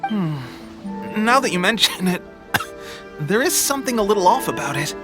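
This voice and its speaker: An actor playing an eager young resistance soldier in an anime game, friendly and putting on a brave face while unwell with a cold. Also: male voice, high-pitched, sing-song, moderate pace. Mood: casual. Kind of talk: English anime game voice acting. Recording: music behind.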